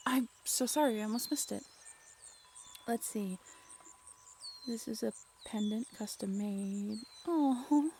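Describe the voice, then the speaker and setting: A woman's voice: fairly high pitch, darkish tone, slight background noise. A woman playing an affectionate, grateful birthday celebrant and werewolf's partner in an intimate roleplay audio drama. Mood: moved, soft. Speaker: voice actor.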